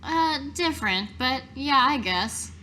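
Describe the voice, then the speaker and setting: A woman's voice: high voice, animated, slow pace, bright, forceful tone, a little hiss or hum. A woman playing a tabletop game, improvising casually in character.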